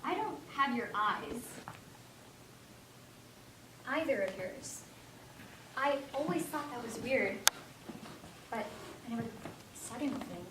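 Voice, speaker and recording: A distant, echoing recording with clear noise carries an actress as an earnest young woman on stage. Her voice sits a little high, and she speaks as a stubborn adoptee looking for answers. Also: animated, slow pace, long pauses. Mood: hurt, hesitant. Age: young adult. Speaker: stage actor. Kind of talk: one-act stage play, dialogue and monologue.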